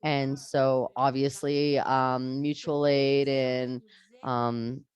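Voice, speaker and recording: A woman's voice: a bass voice, very slow, clean tone, lo-fi sound. A woman, a teacher earnestly sharing classroom stories in a webinar talk, often restarting her sentences. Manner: earnest, animated, frequent restarts.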